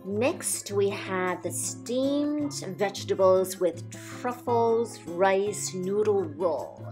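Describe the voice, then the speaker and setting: Slow, calm woman narrating a food review. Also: mid-range voice, over music. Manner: calm, measured, descriptive. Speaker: food vlogger.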